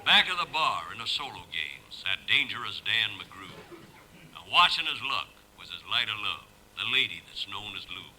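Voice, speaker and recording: A man's voice: on the low side, big pitch swings, moderate pace, noisy background. A man acting as a grave, dramatic narrator who declaims frontier verse in 1950s radio style. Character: grave, dramatic narrator reciting frontier gold-rush ballads. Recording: old radio broadcast.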